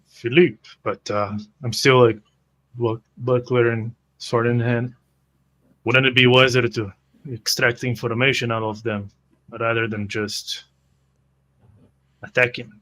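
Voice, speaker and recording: A man's voice: mid-range voice, little pitch movement, measured pace, long pauses, a bit bright. A man playing a tabletop roleplay, reasoning hesitantly in character and describing what he does.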